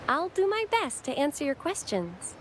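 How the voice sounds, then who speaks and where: A woman's voice: high voice, big pitch swings, normal speed. An actress playing a devout, courteous young female acolyte, speaking formally and reverently in scripted video game dialogue. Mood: polite, helpful. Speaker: temple acolyte guide. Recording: game music under the voice. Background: game background music.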